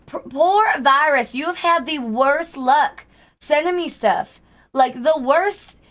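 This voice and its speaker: Streamer, female, hoarse, slightly higher voice, faking a Southern drawl, chatty with viewers. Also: slow, a bit bright. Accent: put-on Southern US. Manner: chatty, greets and thanks chat by name. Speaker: livestreamer. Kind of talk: casual livestream chat with viewers.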